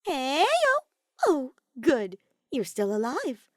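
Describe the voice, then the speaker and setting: A woman's voice: high-pitched, very animated, slow, a little mellow, no echo, studio quality, pitched above the performer's own voice, full character voice. A woman voicing a mocking, ominously cheerful teenage boy villain in an audiobook, with sneering, sing-song delivery. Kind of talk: character voice in fan fiction audiobook.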